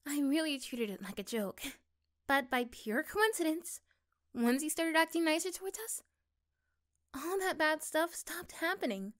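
A woman playing an affectionate, reassuring young girlfriend in a soft, gentle ASMR roleplay. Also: high voice. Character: affectionate, reassuring young girlfriend chatting intimately to her partner.

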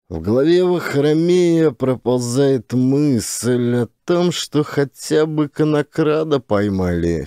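A man's voice: medium pitch, dark tone, no room sound, clean studio sound. A man narrating a literary audiobook as an actor reading from a script.

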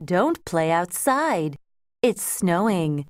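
A woman's voice: on the low side, big pitch swings, very slow, heavy background noise, full character voice. A woman voicing a concerned mother in a children's language-lesson cartoon, with careful short phrases.

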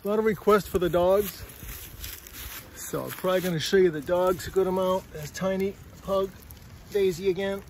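A middle-aged man with a fairly high, gravelly voice, rambling casually as he walks a forest path. He is a little out of breath and keeps checking in with "all right?" There is faint wind noise on the phone recording. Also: normal speed. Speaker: vlogger. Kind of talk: casual walking vlog. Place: windy forest trail outdoors. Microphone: phone at arm's length.

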